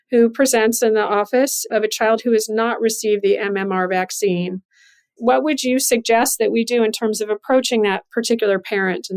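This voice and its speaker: A woman hosting a professional interview, speaking in a measured, articulate, explanatory way. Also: medium pitch, clear, resonant tone, rough recording. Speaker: interviewer and host, physician and journal editor.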